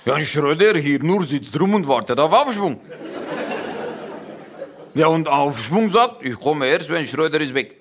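A man's voice: a little high, animated, measured pace, pauses often, very noisy. A man playing a talkative foreigner who speaks broken German and starts each sentence with "ja". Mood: mocking. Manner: broken word order, constant "ja" openers. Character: chatty foreign man mangling German grammar. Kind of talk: satirical comedy monologue.

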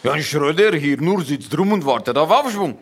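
A man's voice: a little high, animated, normal speed, breathy edge. A man doing a broken-German political joker. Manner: broken grammar, starts each line with "ja". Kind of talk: comedy sketch monologue.

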